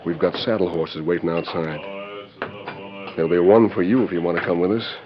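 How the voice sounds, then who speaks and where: An actor playing a sly, ruthless convict in a 1940s radio western, goading in tough, conspiratorial slang. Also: male voice, low-pitched, a little fast, a crowd in the background, lightly acted. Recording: dull noisy 1940s radio recording.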